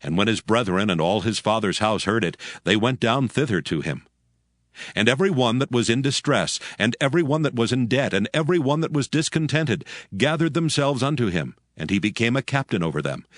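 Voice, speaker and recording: A man narrating scripture as a scripted dramatized reading, in a measured, solemn manner. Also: fairly low pitch, animated, no echo, clean studio sound.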